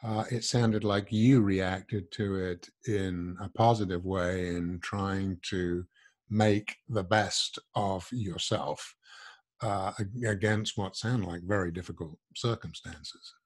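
A man's voice: low-pitched, steady tone, slow. An older man hosting a podcast interview from his home study, friendly and lightly joking as he introduces his guest on a video call. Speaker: podcast host. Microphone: wired earbud mic on a video call.

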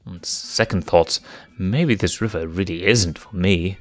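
A man's voice: deep voice, unhurried, faint music behind. A man voicing a cheeky, carefree young male lion cub in a lightly raised voice.

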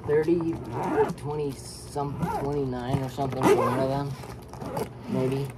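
A man's voice: medium pitch, steady tone, measured pace. A young man chatting casually in a tentative way while co-hosting an unscripted gadget review at home. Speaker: co-host of gadget review vlog. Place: small carpeted room in a house. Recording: noisy handheld home recording.

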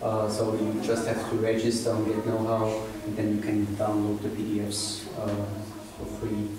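A man's voice: on the low side, monotone, normal speed. A middle-aged man giving an informal meetup presentation to a seated audience, talking hesitantly with many fillers and restarts. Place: small meeting room with seated audience, indoors. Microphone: room camera from the back of the audience. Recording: echoey room, distant from camera, noisy.

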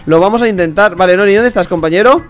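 A young man hosting a casual game playthrough, excitable and quick to shout and swear. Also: high-pitched, soft background music. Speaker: gaming channel host. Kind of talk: casual let's play chat.

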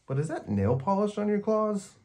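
A man's voice: sits high, big pitch swings, normal speed, darkish tone, voice pushed up. A man voicing an anxious, picky little boy in a storybook, earnest and questioning. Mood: hesitant.

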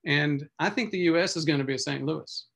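Man in his sixties, doctor host, fairly high voice, casual and self-deprecating, video call mic. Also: a little fast, gravelly, fairly bright tone. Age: 60s. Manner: conversational, self-deprecating, reads comments aloud. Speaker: physician host. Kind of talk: casual video update answering viewer comments. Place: home office on video call. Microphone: video call webcam mic.

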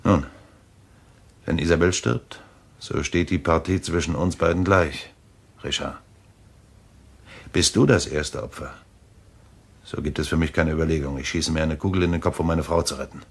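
An actor playing a grimly resolved, obsessed husband in a German thriller radio play, brooding and deliberate in manner, with a husky voice. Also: male voice, a bass voice, steady tone, full character voice. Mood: grim resolve.

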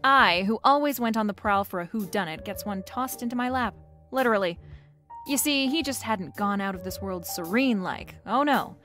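A woman's voice: medium pitch, natural voice. A woman playing a wisecracking, flirtatious private eye, narrating a noir radio drama in a wry, playful way.

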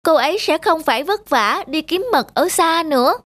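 High-pitched little monkey boy. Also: lively intonation, normal speed, a bit bright, no echo. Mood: pleading. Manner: childlike, earnest, polite. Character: eager naive little monkey boy apprentice. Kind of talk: dubbed children's moral cartoon. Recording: music behind the dialogue.

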